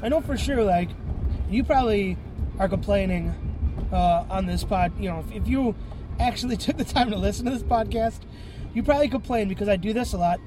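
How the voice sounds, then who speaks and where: A man with a stuffy, congested voice hosting a rambling solo podcast, often clearing his throat and sniffing, full of fillers and restarts. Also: high voice, fairly quick, music in the background, low-quality recording. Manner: rambling, many fillers and self-corrections. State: tired. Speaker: podcast host.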